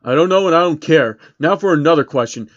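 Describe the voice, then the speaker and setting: A man's voice: fairly high pitch, expressive, quick pace, muffled recording, close to an everyday voice. A man voicing a sarcastic, menacing, foul-mouthed male rapper with a sneering manner in a story reading. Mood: dismissive.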